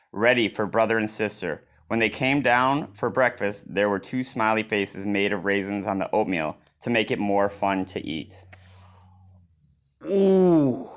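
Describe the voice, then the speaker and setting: A man reads a children's storybook aloud in an expressive manner, adding his own exclaiming asides. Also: lowish voice. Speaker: storybook reader.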